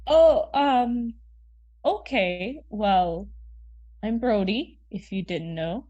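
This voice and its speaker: Eager, awkward young female podcaster, acted by a woman, animated high voice, slow hesitant comic delivery. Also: dull audio.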